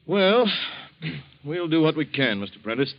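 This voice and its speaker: Pushy old radio cop captain, blunt, very up and down voice, muffled recording. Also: male voice, a little high, sing-song, unhurried. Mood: resigned, businesslike. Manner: insistent, blunt, businesslike. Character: brisk, pushy male police captain questioning a client. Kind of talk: 1940s radio drama acting.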